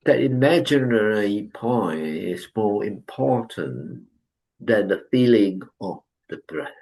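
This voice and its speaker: A man guides a breathing meditation in a somewhat low voice. He speaks very slowly and calmly, leaving long pauses between short instructions. He gently corrects posture and points attention back to the breath. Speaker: meditation teacher.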